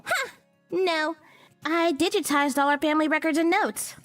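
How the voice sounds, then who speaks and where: A woman's voice: high-pitched, normal speed, a bit bright, soft background music. A woman playing a matter-of-fact young priestess who casts spells in a visual novel game, confidently explaining things. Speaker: video game voice actor.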